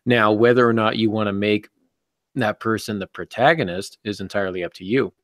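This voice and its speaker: A middle-aged man hosting a casual discussion about writing, explaining things in a measured way. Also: lowish voice, steady tone, no room sound, clean studio sound. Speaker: podcast host and novelist. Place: indoor office, on a video call.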